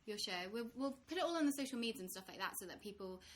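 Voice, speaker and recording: A woman's voice: medium pitch, expressive, rapid-fire, slight background noise. A woman host wrapping up an interview and introducing upcoming shows in a casual style. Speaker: podcast host. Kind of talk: casual chat.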